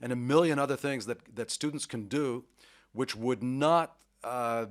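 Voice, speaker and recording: A man's voice: mid-range voice, measured pace. A middle-aged man speaks with eager enthusiasm in a radio interview. He talks at length, picks up sports metaphors and sometimes restarts a word mid-sentence. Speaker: university vice president, interviewee.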